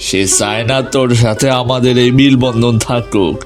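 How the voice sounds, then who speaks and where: A man's voice: mid-range voice, normal speed, close to an everyday voice. Guy doing a bangladeshi bengali village husband, hot tempered big brother, cartoon drama, bit of music under. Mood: angry, stern. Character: angry but loyal village husband and elder brother. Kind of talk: melodramatic cartoon voice acting.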